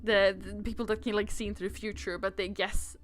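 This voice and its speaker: A woman's voice: medium pitch, little pitch movement, a little fast. A playful, giggly woman on a livestream, reading game text aloud and adding casual commentary.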